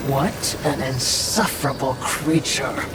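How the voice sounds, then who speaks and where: A woman's voice: rumbling low, slow, over loud music, rough recording. A woman playing a sardonic, fawning servant creature who needles its mistress, in a video game. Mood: wry. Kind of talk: video game cutscene acting.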